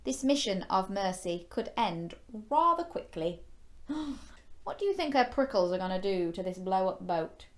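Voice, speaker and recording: A woman's voice: mid-range voice, expressive, unhurried. A woman hosting a children's story time, reading a picture book expressively with exclamations and playful questions to young listeners. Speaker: children's storyteller and show host.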